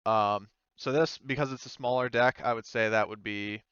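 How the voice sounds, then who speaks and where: A man running a tabletop game as game master, narrating casually and hesitantly as he thinks out loud. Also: medium pitch, steady tone, moderate pace, clear, resonant tone, no room sound. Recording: slightly dull, dry close recording.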